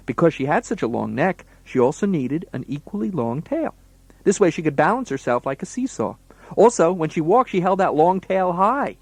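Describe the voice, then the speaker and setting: A man's voice: a little high, darkish tone, no echo. A man working as a children's entertainer, playing the straight man in comedy banter and explaining things to young listeners.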